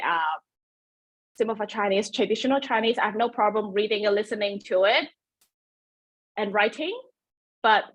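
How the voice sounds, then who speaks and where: A woman with a Cantonese first language hosts an online webinar, her voice sitting a little high. She talks in a chatty, self-deprecating way, full of fillers and restarts, into a computer mic. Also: a bit bright, rough recording. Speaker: webinar host. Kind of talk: presenting a webinar.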